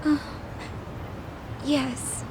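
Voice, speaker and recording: A woman's voice: very slow, noisy background. An actress in an English game dub playing a timid, polite young woman who speaks hesitantly. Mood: shy, relieved. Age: young adult. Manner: hesitant, meek. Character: timid, polite young woman worried about her father. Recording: background music over the dialogue.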